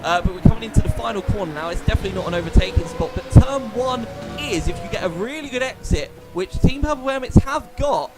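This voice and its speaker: Posh, high-voiced male race commentator. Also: fast talker, hardly any pauses, over loud music. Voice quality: slightly nasal, posh-sounding. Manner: animated, chatty, explains racing lines. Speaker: sim racing commentator.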